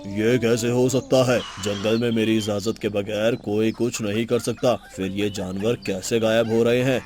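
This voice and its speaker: A man playing a stern, commanding lion king in a children's animated story, speaking deliberately and formally. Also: on the low side, a crowd in the background, natural voice. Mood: concerned, pondering.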